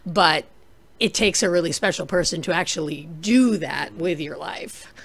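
Lower-voiced woman telling her story. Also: normal speed, husky. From New England. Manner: animated, reflective, rises for emphasis. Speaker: interview guest, documentary director. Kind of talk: casual interview storytelling. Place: small home room. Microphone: desk microphone on video call.

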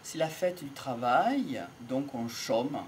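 Slow, halting middle-aged man. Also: mid-range voice, expressive, a little hiss or hum. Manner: measured, halting, pausing between short phrases. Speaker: amateur lecturer on psychology and philosophy. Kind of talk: improvised reflective monologue. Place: outdoors in an open field by trees. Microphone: small wired mic held near the mouth.

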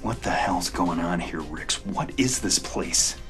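An actor playing a blunt, hot-tempered American convict on the run in a video game, terse and exasperated. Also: male voice, sits low, unhurried, a bit bright, soft background music. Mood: angry, impatient. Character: embattled, fed-up male fugitive convict, blunt, hot-tempered.

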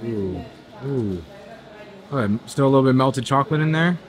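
A man's voice: mid-range voice, expressive, moderate pace. A young man casually vlogging at a convention, upbeat and chatty, rating a cookie aloud. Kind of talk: casual vlog chat. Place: busy convention hotel hall, indoors. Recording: crowd chatter behind. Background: crowd chatter.

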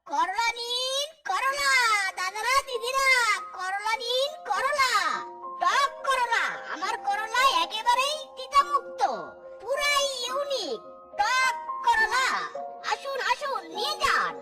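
A man's voice: very high voice, lively intonation, slow pace, loud music behind the voice, full character voice. A man voicing a small, cunning, boastful male fox in a cartoon, cocky and crafty. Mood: hawking wares. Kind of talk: exaggerated cartoon voice acting.

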